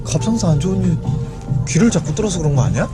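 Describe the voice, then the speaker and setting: A man's voice: sits high, very animated, fast. A man chatting casually with his spouse in an insistent, playful way. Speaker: family vlogger. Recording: loud music behind the voice.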